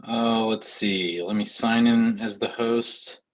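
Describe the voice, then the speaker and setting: A man's voice: on the low side, steady tone, slow pace. A man hosting a work meeting on a video call, teasing in a playful, mock-formal way. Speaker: meeting host.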